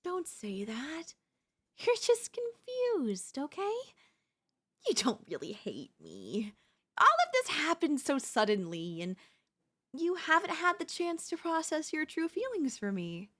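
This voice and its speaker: Girl voice actor doing an obsessed idol kidnapper, asmr roleplay, soft doting sweet talk but controlling and kinda menacing. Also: sits high, wildly swooping pitch, slow pace, heavily acted. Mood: hurt, insistent. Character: obsessive yandere female pop idol kidnapper, sweetly possessive.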